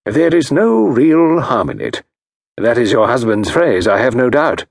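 A man voicing a calm, self-assured middle-aged consultant who speaks in a measured, soothing way. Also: on the high side, creaky, breathy edge, dry recording, studio quality, voice pushed up, full character voice.

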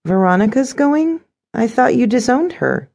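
A woman's voice: a little high, big pitch swings, a little slow, gravelly, darkish tone, voice pushed up, exaggerated voice. A woman narrator voicing a put-upon, dreamy stepdaughter in her twenties in an audiobook, earnest and girlish. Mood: hurt disbelief.

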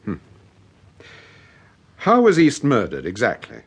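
An actor playing a suave, wry novelist and amateur sleuth with a husky British voice in a vintage radio drama. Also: male voice, medium pitch, huge pitch swings, slow, exaggerated voice. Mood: serious.

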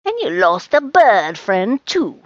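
A woman's voice: medium pitch, very animated, slow pace, dry recording, lo-fi sound. A woman playing an eccentric, brusque old woman who tells fortunes in a storybook, with theatrical, mysterious pronouncements. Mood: mystical.

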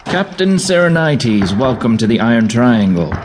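An actor playing a bluff, paternal army general in an audio drama, plain-spoken and commanding. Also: male voice, medium pitch, sing-song, normal speed, a little hiss or hum, lightly acted. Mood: welcoming. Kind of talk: full-cast audio drama acting.

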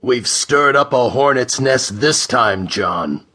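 A man voicing a big, dour Mercurian spaceman in a deep, gruff growl for an audiobook. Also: lively intonation, slow, pushed and bright, heavily acted. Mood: grim. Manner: dour, grumbling.

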